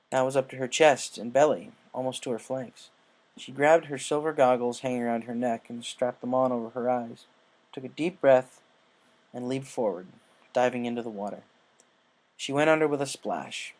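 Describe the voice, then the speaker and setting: A man's voice: mid-range voice, darkish tone, rough recording. A man reading scripted fan fiction as a storybook narrator. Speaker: audiobook narrator.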